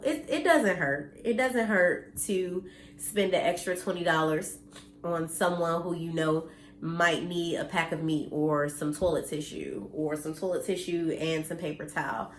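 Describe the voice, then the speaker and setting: A woman's voice: on the low side, reverberant. A woman in her thirties from the United States, slightly nasal and congested from allergies, talking earnestly and bluntly to her viewers from home, cursing now and then. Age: 30s. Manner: earnest and blunt, mildly preachy, occasional cursing. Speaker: vlogger. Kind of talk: casual direct-to-camera talk.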